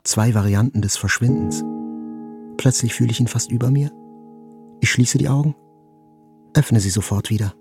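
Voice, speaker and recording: An actor as a mellow, grave survivor narrating. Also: male voice, medium pitch, normal speed, pauses often, faint music behind, lightly acted. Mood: grave, tense. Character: wounded male survivor narrating a terror attack, intense, inward. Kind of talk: dramatic literary narration, radio play.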